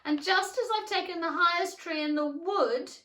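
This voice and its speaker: A woman voicing a flustered, indignant pigeon in a storybook, shrill, scolding and suspicious, complaining in exclamations. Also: moderate pace, fairly bright tone. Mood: exasperated. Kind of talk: storybook character reading. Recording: roomy, echoing room.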